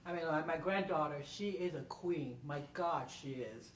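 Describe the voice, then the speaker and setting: A woman's voice: sits low, normal speed. Older woman, emphatic, conversational storyteller, repeats key phrases, roomy distant recording. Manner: emphatic, repeats phrases, often checks with "okay?". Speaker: panel speaker and performer. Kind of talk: animated conversational storytelling to an audience. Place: indoor room at a public library event. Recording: roomy, distant mic, faint noise.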